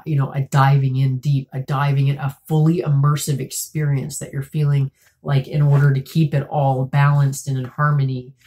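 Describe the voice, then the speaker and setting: A woman in her thirties giving a tarot reading to camera at home, a bit congested and raspy from a cold, talking in a soft, musing way with many fillers. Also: rumbling low. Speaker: tarot reader. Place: small room at home, indoors.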